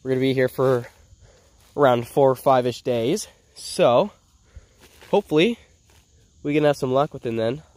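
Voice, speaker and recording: A man's voice: medium pitch, moderate pace, pauses often, dry recording. A young man with a congested, stuffy voice, chatting casually as a vlogger while searching outdoors in the woods, recorded on a head-mounted action camera. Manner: chatty, excited, self-narrating while searching.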